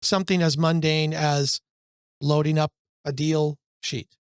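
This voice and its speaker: A man co-hosting a real estate brokerage podcast in a casual chat, rambling with fillers, his voice a little hoarse from a cold. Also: a little high, little pitch movement, measured pace, no echo, clean studio sound. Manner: rambling, searching for words, many fillers.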